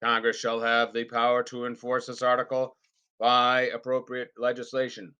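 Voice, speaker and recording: A man reading a formal legal document aloud in an unrehearsed, deliberate and emphatic way, with the occasional stumble. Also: mid-range voice, completely flat delivery, bright, forceful tone, clean tone, highs cut off. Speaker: reader.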